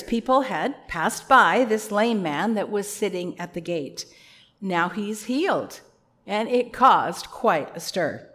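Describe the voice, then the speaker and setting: A woman with a loud voice preaching an earnest, conversational sermon with a few personal asides. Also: mid-range voice.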